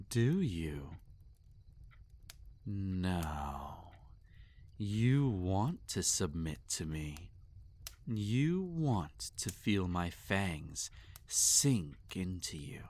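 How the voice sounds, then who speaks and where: A man playing a possessive, sadistic vampire master in an intimate roleplay audio, teasing and domineering, often slipping into breathy whispers. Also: sits low, exaggerated voice. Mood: taunting, seductive.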